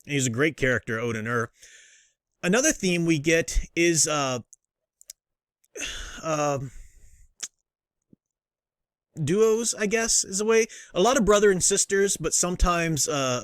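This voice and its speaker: A man's voice: fairly high pitch, normal speed, no echo, studio quality. A man hosting a casual review, explaining things in an enthusiastic, emphatic, teacherly way. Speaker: host and book reviewer. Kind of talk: casual comic review commentary.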